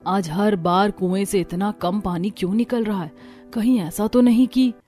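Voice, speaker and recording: A woman's voice: medium pitch, fairly even intonation, moderate pace, dark tone, soft background music, lightly acted. A woman voicing earnest, fretful young village daughters-in-law in a cartoon story, with dramatic delivery. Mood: worried. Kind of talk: Hindi cartoon story dialogue.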